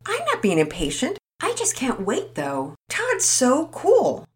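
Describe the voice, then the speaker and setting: An excitable young boy who can't hide his eagerness, as a woman puts him on. His voice jumps high and wide. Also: slow pace, heavily acted. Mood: eager.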